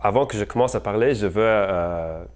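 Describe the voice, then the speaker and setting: A young man giving an unscripted campaign message, hesitant and halting, with many fillers and slips into English words. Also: on the low side, darkish tone, clean tone. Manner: apologetic about his French. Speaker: mayoral candidate.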